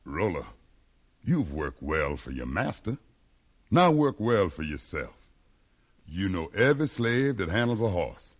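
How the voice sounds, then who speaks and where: A determined freed man in a 1950s radio drama. His low voice swings widely with conviction, and he persuades like a preacher. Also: very animated, a little slow, long pauses, full character voice. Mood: urgent, fervent. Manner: fervent, persuasive, preaching cadence. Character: determined freed slave man, educated, fiery rebel leader.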